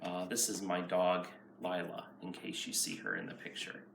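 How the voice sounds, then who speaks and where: A man's voice: low voice, sing-song, moderate pace, vocal fry. A man reading a children's picture book aloud as a nursery school teacher. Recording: roomy, far from mic, faint noise.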